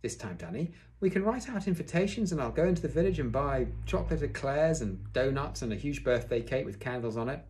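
A man's voice: sits high, sing-song, a little fast, warm tone, voice pushed up. A man voicing a fond, encouraging father in a children's storybook, eager and coaxing.

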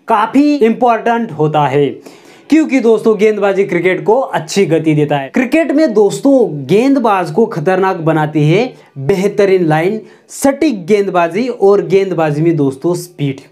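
A man's voice: high voice, a little mellow. A young man teaching at a whiteboard, speaking on a lapel mic and addressing his audience again and again, asking questions and answering them himself. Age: young adult. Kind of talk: whiteboard lesson. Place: indoor room in front of a whiteboard.

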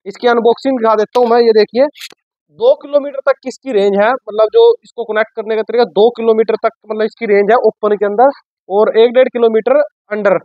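A man's voice: high voice, steady tone, a little fast. A man presents products in a casual shop-video style. He explains informally, often repeating his phrases. The sound is slightly muffled and lo-fi, with little room echo. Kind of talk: casual product unboxing and sales pitch. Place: shop office with sofa, indoors.

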